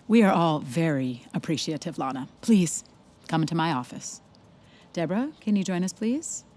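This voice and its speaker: A woman's voice: low voice, lively intonation, moderate pace, darkish tone. An actress playing a stern, formal woman in charge of a rehab center, speaking in precise, authoritative sentences. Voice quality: formal, clipped, authoritative wording. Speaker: facility head. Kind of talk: audio drama acting.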